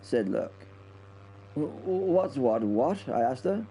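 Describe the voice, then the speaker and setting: A man's voice: mid-range voice, big pitch swings, slow pace, mellow, slight background noise. A man playing a flustered British wing commander, telling his story hesitantly, stammering and repeating himself. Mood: uneasy, bewildered. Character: flustered, stammering male wing commander pilot recounting a mission. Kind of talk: dramatic first-person narration in audio drama.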